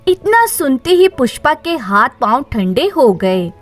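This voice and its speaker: A woman tells a dramatized moral story in a high voice with lively, swinging intonation. She takes it very slowly, as a storyteller would, with faint music behind her.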